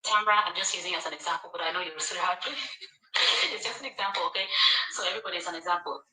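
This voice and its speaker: A woman's voice: on the low side, racing, sharp tone. A woman from Nigeria with a Nigerian accent, chatting casually as a live streamer. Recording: echoey room, distant from the mic.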